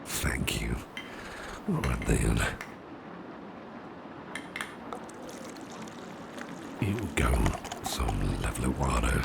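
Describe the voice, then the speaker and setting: A man playing a doting, protective businessman boss with a sly edge in an ASMR roleplay, gravelly and breathy at times, with genteel, old-fashioned phrasing. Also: very deep voice, big pitch swings, a little slow, background noise, full character voice. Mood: courteous, attentive. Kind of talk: ASMR roleplay, soft personal attention.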